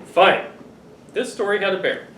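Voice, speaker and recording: A middle-aged man reading a picture book aloud to children, expressive and playfully exasperated. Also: high voice, moderate pace. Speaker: father reading to his children. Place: living room sofa, indoors. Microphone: camera a few feet away. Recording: roomy, distant home recording with noise.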